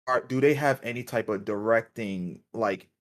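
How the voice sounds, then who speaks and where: A man's voice: lowish voice, normal speed. Hesitant man in a podcast discussion. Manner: hesitant, searching for words. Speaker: podcast co-host. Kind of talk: casual panel discussion.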